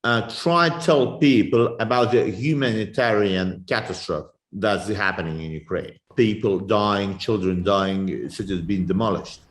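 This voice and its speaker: A man's voice: fairly low pitch, measured pace, few pauses. A man with a Lithuanian accent giving spontaneous answers in an interview as an activist. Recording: echoey room.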